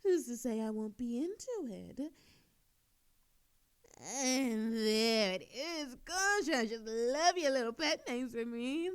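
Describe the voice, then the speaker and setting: A cocky, teasing female thief, acted by a woman in an audio drama, with a high voice that swoops widely. She drawls slowly and theatrically, flirting with smug relish. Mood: teasing, coy.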